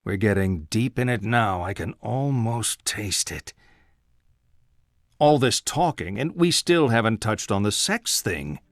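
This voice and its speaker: A man's voice: fairly low pitch, expressive, measured pace, dry recording. An actor playing a provocative, impatient male psychiatrist in a video game, theatrical and pointed, asking rhetorical questions. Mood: sly, needling. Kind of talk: dramatic video game acting. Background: music.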